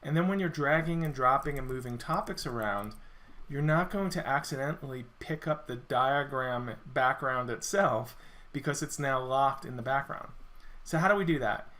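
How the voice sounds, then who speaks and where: A man walking through a software feature in a screen-recorded demo, explaining step by step, his voice a little worn as he clears his throat. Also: medium pitch, moderate pace. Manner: relaxed explanatory walkthrough with self-corrections. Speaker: software product presenter.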